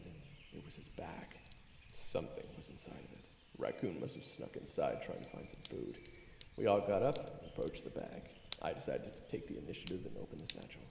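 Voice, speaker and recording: A man's voice: fairly low pitch, gravelly, slight background noise, exaggerated voice. A man playing a goofy, boastful young human adventurer who tells his own tale in an audio drama, theatrical and joking. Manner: theatrical, joking, conversational storytelling. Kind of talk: first-person audio drama narration and dialogue. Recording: echoey, reverberant, music underneath. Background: music.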